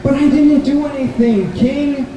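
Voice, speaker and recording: A man's voice: very high voice, measured pace, mellow, pitched above the performer's own voice. A man voicing a poor, kindly Brahmin in a live puppet show, earnest and innocent in manner. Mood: pleading. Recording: echoey room, distant mic, background noise.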